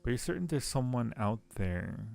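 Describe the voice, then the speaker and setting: A man's voice: medium pitch, sing-song, moderate pace, creaky, darkish tone, soft background music. A man streaming a game casually, thinking aloud as he plays and reading on-screen text to his viewers. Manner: thinks aloud while playing. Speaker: streamer playing a point-and-click game.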